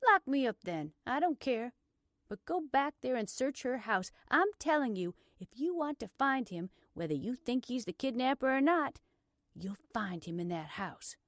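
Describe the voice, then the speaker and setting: A woman's voice: mid-range voice, expressive, a little slow, dry recording, studio quality. Woman acting a stubborn, indignant woman under arrest. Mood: exasperated, defiant. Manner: forceful, argumentative, emphatic delivery.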